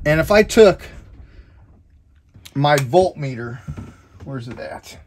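A man's voice: a little high, animated, slow. A man in his fifties casually explaining boat chores, rambling with restarts, on a sailboat with engine and wind noise behind. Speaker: sailing vlogger. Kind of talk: casual how-to narration. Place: sailboat cabin, then open deck outdoors.

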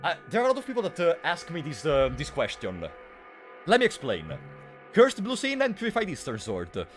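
A young man streams a game, his voice fairly high and swinging widely in pitch as he teases his chat. A sore throat roughens his playful, chatty delivery. Faint music plays under him. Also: wildly swooping pitch, brisk, fairly bright tone. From Italy. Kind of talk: casual live-stream commentary.

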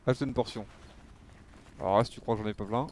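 A man's voice: lowish voice, steady tone, quick pace, a little mellow, a little hiss or hum. A man giving casual live game commentary, muttering to himself and swearing freely. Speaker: game streamer.

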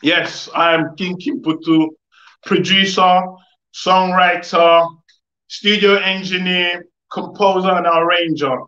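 A young man telling his story as an interview guest, in a casual, reflective way with halting phrasing. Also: high voice, fairly even intonation, bright, forceful tone. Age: young adult. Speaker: guest, music producer. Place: living room, video call. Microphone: video call. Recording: echoey room, dull video-call audio.

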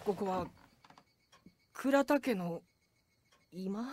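A woman's voice: medium pitch, lively intonation, drawn-out words, a little mellow, a little hiss or hum. An actress playing a timid, easily flustered teenage boy, stammering in an anime drama CD. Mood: uneasy, flustered.

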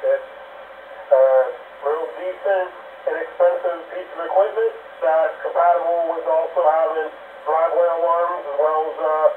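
A man's slightly high voice comes through a two-way radio, very tinny and narrow. He explains gear casually, like an operator on air, sounding distant in the room. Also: fairly even intonation, moderate pace. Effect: heard over two-way radio. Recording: tinny radio transmission, distant, echoey, faint noise.

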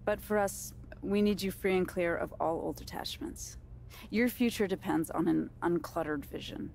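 A woman with a gravelly, slightly low voice as a corporate sports agent in a game cutscene. She is polished, assured and uncompromising. Faint background noise accompanies the voice. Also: moderate pace, a little mellow. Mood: firm. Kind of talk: naturalistic game cutscene dialogue.

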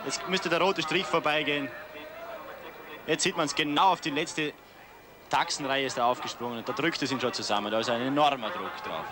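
A man's voice: mid-range voice, a little fast. A man with an Austrian accent giving colloquial expert analysis during live ski flying commentary. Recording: noisy outdoor sports broadcast.

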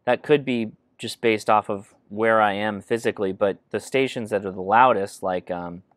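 Low-voiced man casually reviewing gadgets. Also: moderate pace, fairly bright tone, no echo. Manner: informal, thinking aloud. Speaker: host of a radio gear review channel. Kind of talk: casual hands-on product review. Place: indoors at a desk.

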